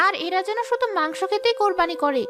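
Very high-pitched plaintive housemaid acted by a woman. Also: expressive, fast talker. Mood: indignant. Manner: plaintive, indignant. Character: poor, proud, outspoken village housemaid. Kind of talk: cartoon dialogue. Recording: music behind the voice.

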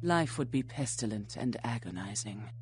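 A woman's voice: rumbling low, lively intonation, moderate pace. A woman playing a morbid, scholarly vampire in a video game, speaking in formal, solemn, archaic phrases with cold philosophy. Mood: philosophical, solemn. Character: morbid scholarly female vampire flesh-eater, coldly philosophical. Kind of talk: scripted video game dialogue.

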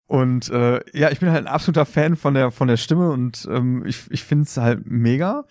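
A man's voice: medium pitch, moderate pace, darkish tone, no echo, clean studio sound. A man co-hosting a podcast in casual chat, talking informally like an enthusiastic fan. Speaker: podcast co-host.

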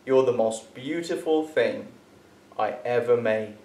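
A man reading God's lines aloud in a children's story, speaking as a warm, proud male creator. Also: medium pitch, unhurried, echoey room, natural voice, low-quality recording. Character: God, a loving male creator speaking warmly.

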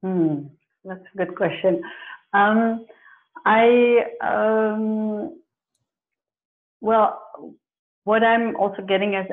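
A woman in her forties whose first language is Dutch, a career coach answering questions thoughtfully on a video call from her home office, with many fillers and restarts. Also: fairly low pitch. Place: home office with plants and pictures. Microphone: earphone mic on a video call. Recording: phone-like narrow sound.

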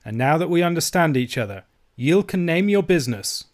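A man's voice: on the high side, lively intonation, fairly quick, pitched above the performer's own voice, close to an everyday voice. A man narrating a storybook voices a suspicious, miserly old Scottish uncle, frightened and grudging, with a wary quaver. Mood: threatening, cautious.

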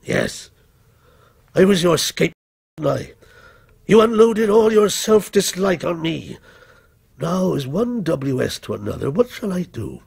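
Man acting out a menacing, gravelly avenging villain. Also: a little high, very animated, a little mellow, exaggerated voice. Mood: bitter, accusing. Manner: taunting, bitter, deliberate. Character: menacing, vengeful male fictional criminal come to life as a policeman.

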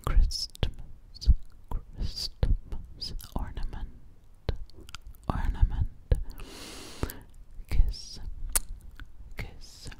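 A young woman doing close-up ASMR as a gentle alien girl, whispering breathily and soothingly right at the microphone, repeating words and phrases. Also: drawn-out words, long pauses. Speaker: ASMR creator. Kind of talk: ASMR personal attention roleplay as an alien girl. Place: small dark indoor space, very close up. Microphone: large microphone right at the lips.

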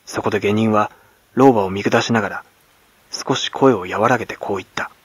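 A man from Kagoshima, Japan, narrating classic literature as a dramatic audiobook in a grave, measured manner. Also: fairly low pitch, slightly rough, no room sound. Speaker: actor, narrator. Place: at home, close and dry.

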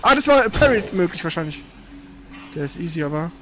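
Over clear background noise, a man chats his way through a video game with very lively swings in pitch. He talks casually and in slang, throwing in exclamations as he reacts to the action. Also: brisk. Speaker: let's player. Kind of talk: casual gaming commentary while playing.

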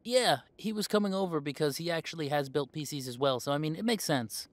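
A man's voice: on the high side, brisk, no room sound, close to an everyday voice. A man playing a young werewolf in a conversational audio roleplay, talking in a casual, earnest way. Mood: playful. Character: easygoing young male werewolf, defensive with parents.

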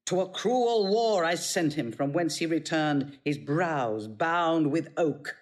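A woman's voice: low voice, expressive, slow, darkish tone. An actress playing a fierce, proud older Roman mother in a Shakespearean audio drama, imperious and declamatory. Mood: proud, impassioned. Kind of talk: classical Shakespearean stage acting.

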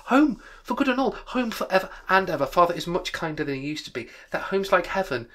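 Man putting on a high-pitched gleeful little girl. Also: sing-song, normal speed, a bit bright, exaggerated voice. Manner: high, breathless, eager. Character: tiny gleeful loving little girl, sister.

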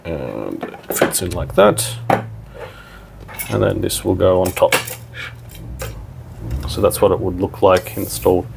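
A man's voice: low-pitched, big pitch swings, slow pace, creaky, pauses often, noisy background. A man narrating a hands-on product tutorial in an informal, step-by-step way. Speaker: product demonstrator.

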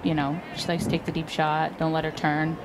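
A low-voiced woman coach talks bluntly after a loss and keeps circling back on her words. She is interviewed outdoors at a field, and steady noise hangs behind her voice. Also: brisk. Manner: blunt, frank, repeats herself. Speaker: head coach. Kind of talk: postgame interview.

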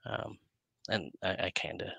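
A man's voice: low-pitched, fairly even intonation, measured pace, creaky, bright, forceful tone, husky, no echo, lo-fi sound. A middle-aged man chatting casually as an interview host in a rambling, self-interrupting way. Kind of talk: casual chat. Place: indoor room, video call. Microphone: headset.

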